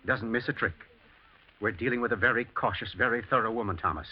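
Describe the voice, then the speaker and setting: An actor playing a shrewd, suspicious master of the house, urgent and dryly genteel, in a 1940s-style radio drama. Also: male voice, fairly low pitch, quick pace, slightly rough. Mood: wry, suspicious. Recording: muffled, grainy old radio broadcast.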